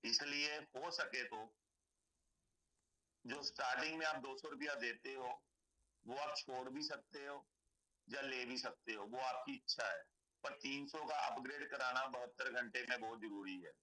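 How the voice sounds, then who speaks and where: A man with a hoarse throat giving a persuasive sales pitch for a charity donation scheme, explaining it step by step and repeating key points. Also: unhurried, pushed and bright, poor audio.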